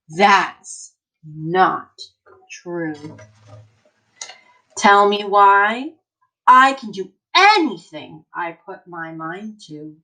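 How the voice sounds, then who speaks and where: Female read-aloud teacher, mid-pitched, unhurried, emphatic and encouraging toward a child audience. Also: sharp tone, rough recording. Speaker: teacher reading a picture book aloud to children.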